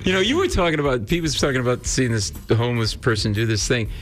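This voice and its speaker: A man's voice: medium pitch, sing-song, fast talker. A man from Rhode Island, guesting on a morning radio show, tells stories in a loose, rambling way full of fillers. His voice sits mid-range, with faint music running underneath. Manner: rambling storyteller, lots of fillers. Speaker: comedian guest. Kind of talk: casual radio studio chat. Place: radio studio.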